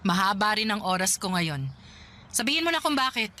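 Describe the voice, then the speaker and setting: Radio drama: scornful, teasing ex-girlfriend, bright tone, expressive lines. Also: medium pitch, moderate pace. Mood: sarcastic. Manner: sarcastic, teasing. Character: sharp-tongued young woman, scornful ex.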